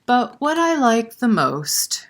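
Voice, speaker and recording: A woman's voice: a little high, big pitch swings, slow, slight background noise. A woman hosting a library storytime, reading a picture book and chatting directly to young children with questions. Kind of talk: children's picture-book read-aloud and chat.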